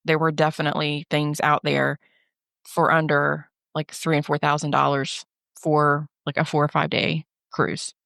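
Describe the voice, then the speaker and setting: Woman w a head cold, kinda nasal, lowish voice, dry close sound, chatty travel podcast. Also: normal speed, creaky, no room sound. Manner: runs through lists in a steady, even delivery. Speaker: podcast co-host and travel agent. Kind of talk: casual podcast chat.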